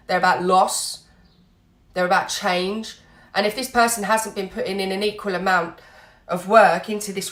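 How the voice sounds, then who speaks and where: Woman, tarot reader, slightly snotty with a cold, mid-pitched, echoey room. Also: a bit bright. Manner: earnest, reassuring, with many "you know" fillers. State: has a cold, a bit snotty. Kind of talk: tarot reading vlog.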